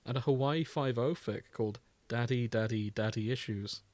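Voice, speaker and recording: A man with a mid-pitched voice co-hosts a casual comedy podcast. He banters with mock indignation, and the sound is dry, with very little room in it. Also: normal speed, a little mellow, dry recording. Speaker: podcast co-host. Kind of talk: casual comedic chat, reading out fan fiction tags.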